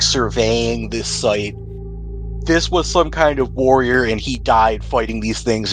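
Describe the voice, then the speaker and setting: A man's voice: mid-range voice, rough recording. A man acting as game master, describing scenes and rules in a descriptive, conversational storytelling way. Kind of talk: narrating a tabletop role-playing game. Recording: noticeable background noise.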